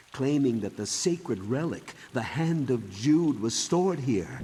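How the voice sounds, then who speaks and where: A principled, defiant monk for a video game. The mid-pitched voice is solemn and deliberate, slow in its accusations, with a long reverberant tail. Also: male voice, background noise. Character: earnest, defiant monk investigating heresy. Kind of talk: video game cutscene acting.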